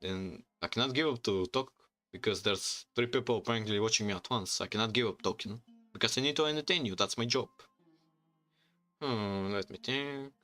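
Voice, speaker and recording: A man from Bulgaria with a Bulgarian accent, chatting casually on a livestream in halting, rambling English full of restarts. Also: lowish voice, pushed and bright, soft background music. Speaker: streamer and YouTuber. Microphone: desk microphone.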